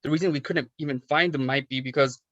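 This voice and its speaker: A man's voice: medium pitch, fairly even intonation, fast, fairly bright tone, no echo, lo-fi sound, close to an everyday voice. Man playing a chatty bar regular, dry close sound. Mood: speculating. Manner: chatty, casual. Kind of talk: film script table read.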